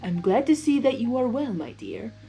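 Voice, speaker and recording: Woman as a wise zebra speaking in measured rhyme. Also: a little high, big pitch swings, dark tone, faint music behind, pitched above the performer's own voice. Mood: concerned. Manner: measured, rhyming, formal.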